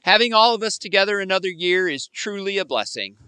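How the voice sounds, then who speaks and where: An actor playing a gentle, grateful, peace-keeping family man in a radio play. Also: high-pitched, expressive, brisk, clear, resonant tone, no echo. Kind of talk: live radio play acting.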